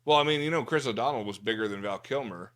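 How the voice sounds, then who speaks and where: A man's voice: mid-range voice, fast talker, fairly bright tone. A man in casual, bawdy podcast banter, telling stories in a crude, profane, joking way. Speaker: podcast host.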